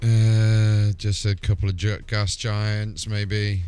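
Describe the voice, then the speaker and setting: A man's voice: on the low side, level tone, slow. A man casually commentating on a live game stream, musing aloud as he plays. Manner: musing aloud to himself and viewers. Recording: music playing behind the voice. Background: music.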